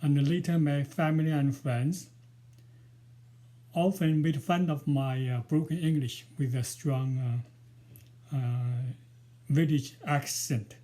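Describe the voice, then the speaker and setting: A man in his sixties with a Chinese accent, Mandarin his first language, introduces his book before reading from it. He speaks very slowly and haltingly, with many pauses. His voice is mellow and sits a little high for a man. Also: fairly even intonation. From China. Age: 60s. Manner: hesitant and careful, with frequent pauses and fillers. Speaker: author.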